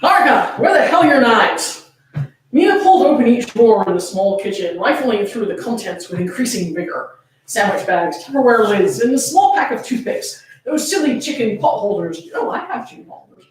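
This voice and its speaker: A woman's voice: fairly high pitch, very animated, moderate pace. A woman hosting a fan fiction reading, playful and sweary, moving between casual teasing banter and dramatic read-aloud. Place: indoors, echoey room, camera on tripod. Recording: very echoey room, distant from mic.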